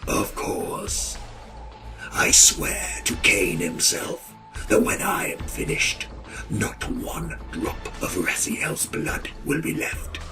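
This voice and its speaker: A man voicing a bloodthirsty vampire inquisitor who serves his lord, with a breathy, hissing rasp and drawn-out menace in a fantasy audio drama. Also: low voice, sing-song, full character voice. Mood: gleeful bloodlust. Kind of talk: theatrical dark fantasy audio drama acting. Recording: roomy echo. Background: music.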